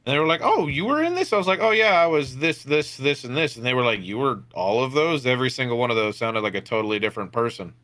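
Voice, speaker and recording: A man's voice: mid-range voice, animated, quick pace, few pauses, a bit bright. A young man chatting casually and swearing freely among tabletop players, rambling through a story. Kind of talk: casual chat at a tabletop game.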